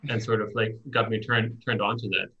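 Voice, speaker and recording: A man from Germany chatting casually as a guest software developer. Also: fairly low pitch, little pitch movement, brisk, creaky. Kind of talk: casual chat. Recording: dull lo-fi call audio.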